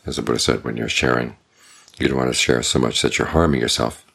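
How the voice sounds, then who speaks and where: A man, a Buddhist monk, giving a measured, deliberate dharma talk. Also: a bass voice, level tone, gravelly, fairly bright tone.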